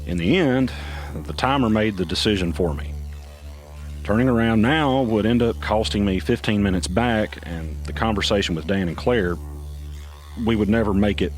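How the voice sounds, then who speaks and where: A man's voice: on the low side, moderate pace, soft background music, lightly acted. An actor playing a brooding protagonist, narrating his story in scripted first person for an audio drama. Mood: brooding, tense. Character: brooding male protagonist recounting his flight.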